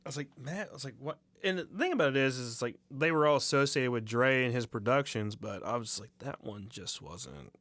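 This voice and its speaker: A man's voice: medium pitch, animated, brisk, hardly any pauses, fairly bright tone, dry recording. A young man as a podcast guest, talking in a laid-back way and trailing off. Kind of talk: casual chat.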